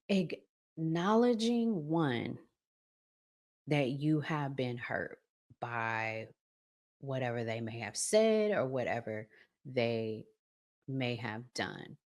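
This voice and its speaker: An American woman hosting a relationship advice channel, talking casually and emphatically in colloquial language and drawing out her key words. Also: deep voice. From United States. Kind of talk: casual advice talk with acted-out examples.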